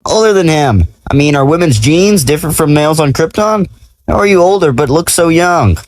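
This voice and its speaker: A man's voice: fairly high pitch, animated, a little fast, no echo, close to an everyday voice. A man playing a cocky, self-important male superhero who explains things breezily and jokes, in an audio drama. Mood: awkward, curious. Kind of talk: audio drama character acting.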